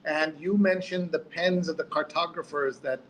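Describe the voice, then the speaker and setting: A man's voice: a little high, fairly even intonation, moderate pace, a bit bright, slight background noise, low-quality recording. A man hosting a live talk show in a casual, chatty way, drifting into asides and reading out viewer comments.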